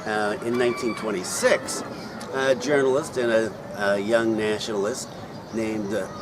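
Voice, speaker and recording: Hesitant man talking over loud traffic. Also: on the low side, steady tone, measured pace. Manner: hesitant, many ums and uhs. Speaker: vlogger answering viewer questions. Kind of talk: casual explanatory talk. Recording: loud outdoor traffic noise.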